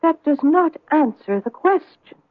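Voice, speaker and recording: An actress playing a feisty, evasive woman in old-time radio drama style, with a lilting, indignant, drawn-out storytelling manner. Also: high voice, lively intonation, no room sound. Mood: brisk, indignant. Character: feisty, evasive Irish-American woman reporting a theft. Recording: dry vintage radio recording, muffled.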